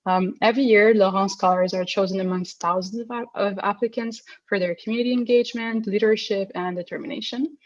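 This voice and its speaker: A woman's voice: mid-range voice, steady tone, brisk, hardly any pauses, no echo, lo-fi sound. A young adult woman hosting a podcast interview, earnest in her introductions and casual in her questions. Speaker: podcast host.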